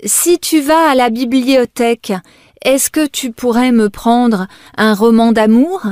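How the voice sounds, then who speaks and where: A woman reading scripted language test instructions and short dialogues in a measured, clearly enunciated way. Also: a little high, steady tone, darkish tone, no room sound, studio quality.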